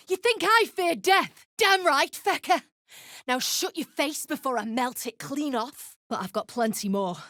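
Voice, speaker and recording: A woman voicing an embittered, foul-mouthed deep gnome rebel in a video game, blunt and snarling. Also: fairly bright tone, dry recording, clean studio sound. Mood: furious, then grim, curt. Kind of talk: video game character acting.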